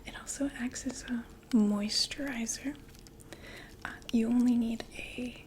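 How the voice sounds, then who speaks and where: A young woman whispering as a calm vampire makeup saleswoman. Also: a little high, a little hiss or hum, close to an everyday voice. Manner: slow, gentle, chatty sales talk. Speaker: ASMR roleplay artist. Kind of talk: ASMR roleplay.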